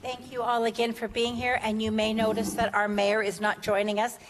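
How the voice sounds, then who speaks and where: A woman's voice: on the high side, little pitch movement, fairly quick, background noise. A woman acting as mayor pro tem, chairing a city council meeting in a friendly, chatty, plain-spoken way. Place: council chamber. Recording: long reverb in a council chamber.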